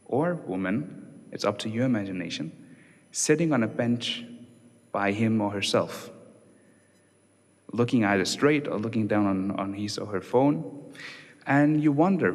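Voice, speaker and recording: Young Danish-born male researcher, chatty conference talk, church-hall reverb. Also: medium pitch. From Denmark. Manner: relaxed, conversational, many fillers and restarts. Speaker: doctoral researcher giving a talk. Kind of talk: conference presentation.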